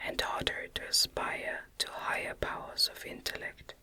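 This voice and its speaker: A woman reading a gothic novel aloud as an ASMR narrator, in a gentle, measured whisper. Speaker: audiobook reader.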